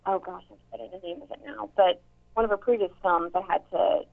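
A young actress with a somewhat deep voice, chatting casually in an interview with many fillers and restarts. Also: female voice, steady tone, fast, vocal fry. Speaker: actress and voice actor. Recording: tinny phone-like line.

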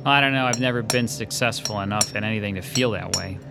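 A man's voice: fairly low pitch, fast talker, gravelly, music in the background. An actor playing an uneasy male artist who narrates his own story in a radio drama, hesitant and polite. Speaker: narrator and lead character.

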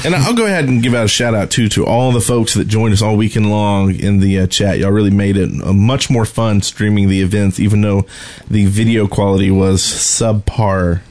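A man co-hosting a podcast, chatting casually about games and life in a halting, rambling, informal way. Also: on the low side, a little mellow. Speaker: podcast co-host. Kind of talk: casual gaming podcast chat.

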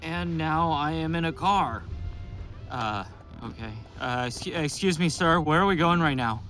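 A man's voice: a little high, fairly bright tone, soft background music. An actor in a naturalistic audio drama playing a dazed, nervous man who mutters fragments as he narrates his own psychedelic experiment. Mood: awkward, confused. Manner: halting, muttering fragments to himself.

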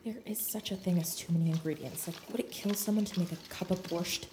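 A woman's voice: on the low side, fairly even intonation, fast talker, very noisy, close to an everyday voice. Sarcastic, exasperated young woman played by an actress. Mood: wry, grumbling. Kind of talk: naturalistic audio drama acting.

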